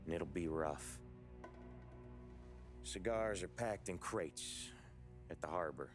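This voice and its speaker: An actor playing a level-headed young mobster who leads his crew, talking in a calm, blunt voice. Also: deep voice, takes it slowly, soft background music. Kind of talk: video game cutscene acting. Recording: game cutscene with loud score.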